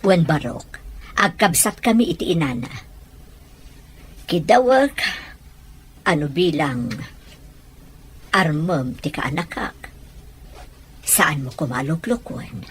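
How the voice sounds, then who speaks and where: An actress playing a stern older aunt, questioning in a probing manner in an Ilocano radio drama. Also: female voice, low voice, lively intonation, slow pace, pauses often, slight background noise.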